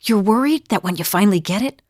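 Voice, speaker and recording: A woman's voice: mid-range voice, expressive, quick pace, a little mellow, dry recording, studio quality, natural voice. A woman playing a weary, wry middle-aged adventurer in a video game, confiding in a plain-spoken way. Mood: wry, uneasy. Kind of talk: video game character dialogue.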